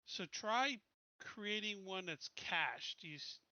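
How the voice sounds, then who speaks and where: A man's voice: sits high, animated, takes it slowly, bright, forceful tone, clear, resonant tone, dry recording, lo-fi sound. A man in an online game group casually explaining technical settings step by step.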